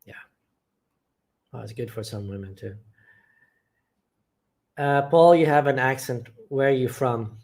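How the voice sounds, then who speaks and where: A man from England runs a casual live chat on his channel. He reads viewer comments aloud and answers each one with plain, blunt opinions, speaking at a middling pitch in an everyday, conversational way. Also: lively intonation, a little slow, long pauses, a little mellow. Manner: matter-of-fact, blunt opinions. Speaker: channel host.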